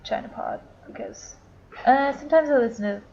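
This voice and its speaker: Gravelly young woman with stuffy nose. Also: sits high, normal speed, darkish tone. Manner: casual, short agreeing replies. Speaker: guest, host's youngest sister. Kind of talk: casual chat. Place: her parents' house. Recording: dull sound with clear background noise.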